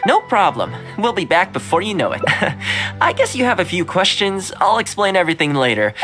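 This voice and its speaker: A man voicing a polite, easygoing young fixer in an anime-style video game, explaining things calmly and tactfully. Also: high-pitched, normal speed, full character voice. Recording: game music behind.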